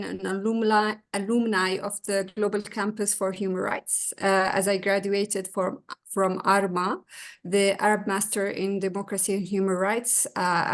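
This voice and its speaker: A woman from Morocco moderating an online webinar, formally reading a prepared introduction over a video call. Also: mid-range voice. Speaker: moderator and discussant.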